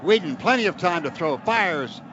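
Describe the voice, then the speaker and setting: A man's voice: sits high, expressive, normal speed. An American man calling football play-by-play on the radio, with clipped phrases and folksy exclamations. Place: stadium radio booth. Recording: muffled radio broadcast, loud stadium noise. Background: loud crowd and stadium ambience.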